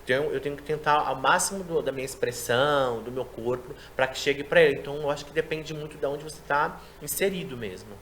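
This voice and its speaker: A man who works as a sign language interpreter, chatting in an interview and explaining his work in a lively way. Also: on the high side, a little hiss or hum. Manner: quick, explanatory, animated delivery. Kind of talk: casual interview chat.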